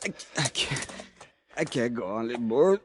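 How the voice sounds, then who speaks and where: An actor playing a wounded, defiant old-west sidekick who groans and speaks weakly in a 1950s radio drama. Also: male voice, mid-range voice, lively intonation, moderate pace, heavy background noise, close to an everyday voice. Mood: weak, pained.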